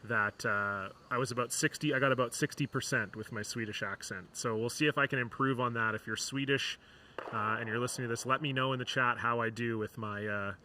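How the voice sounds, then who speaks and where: Male sports commentator, bright and forward tone, easygoing chat, faint arena hum. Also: medium pitch, fairly quick. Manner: relaxed, chatty, reads sponsor lists. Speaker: curling commentator.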